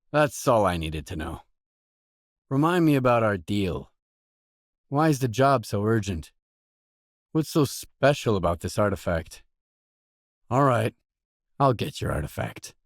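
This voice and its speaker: Low-voiced, terse stalker hero. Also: male voice, no echo, clean studio sound. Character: gruff, matter-of-fact male mercenary stalker, game hero. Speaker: video game protagonist. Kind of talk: video game dialogue voice lines.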